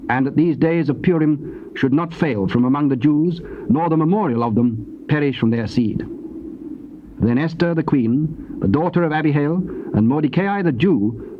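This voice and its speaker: Measured male narrator on dull tape. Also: fairly high pitch. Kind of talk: solemn scripted scripture narration. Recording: dull tape with faint noise.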